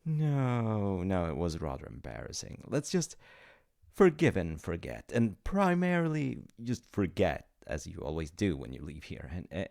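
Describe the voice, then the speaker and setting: Guy acting as an awkward demigod in a comedy roleplay, gravelly, kinda slow, stammers and apologizes a lot. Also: on the low side, a little mellow, exaggerated voice. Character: flustered, chatty male demigod in charge of reincarnation. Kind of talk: comedic audio roleplay addressed to the listener.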